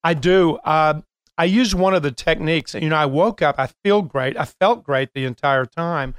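An older man hosting a coaching podcast, talking casually with many filler pauses. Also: fairly high pitch, clean tone, dry recording, clean studio sound. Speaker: host and coach.

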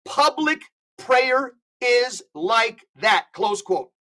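A man's voice: extremely high pitch, lively intonation, very slow, pushed and bright. Emphatic man talking like a preacher. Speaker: podcast guest. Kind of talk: impassioned religious talk with read-out quotations.

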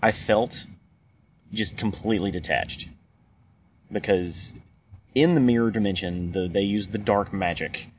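Low-voiced man in loose movie chat. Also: a little slow, pauses often. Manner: rambling, many restarts and fillers. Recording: muffled lo-fi recording with faint hiss.

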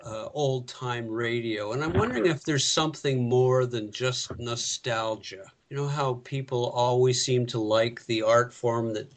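A man with an average-pitched voice talks casually as part of a panel. He speaks conversationally, as someone exchanging questions and remarks with the others. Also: a little slow, few pauses. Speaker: panel participant asking questions. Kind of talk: casual discussion.

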